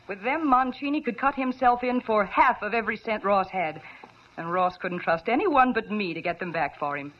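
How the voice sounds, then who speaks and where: A woman's voice: a little high, lightly acted. A woman playing a sly, scheming young blonde singer in an old radio drama, pleading one moment and coldly taunting the next. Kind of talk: 1940s radio drama acting. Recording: dull lo-fi old radio recording.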